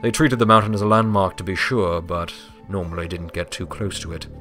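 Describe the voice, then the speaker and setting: A man's voice: sits low, fairly even intonation, brisk, a bit bright, faint music behind. A man reading scripted story narration as the narrator.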